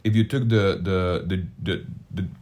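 A man's voice: sits low, steady tone, a little slow, a little mellow. A man co-hosting a podcast with blunt, emphatic and scornful casual banter. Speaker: podcast co-host.

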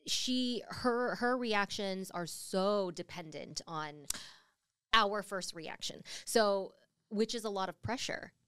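A woman's voice: mid-range voice, takes it slowly, fairly bright tone, clear, resonant tone, dry recording, studio quality. A woman in her thirties co-hosting a casual podcast chat, often hesitant and searching for words as she talks. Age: 30s. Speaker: podcast co-host.

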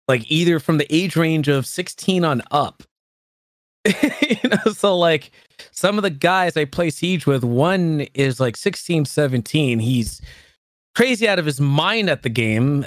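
A man's voice: a little high, dry recording, clean studio sound. A man in his early thirties, a streamer chatting casually and rambling, often laughing and poking fun at himself. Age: 30s. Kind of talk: casual chat.

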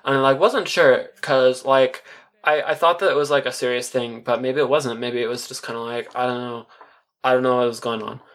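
A man's voice: mid-range voice, fairly even intonation, fairly quick, fairly bright tone. A man performing a first-person audio drama monologue as narrator, in an awkward, earnest storytelling manner. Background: music.

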